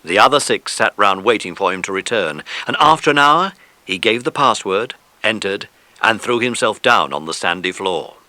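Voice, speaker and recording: A man's voice: lowish voice, animated, normal speed, bright, forceful tone, dry recording. A man reading scripted narration for a children's adventure audiobook.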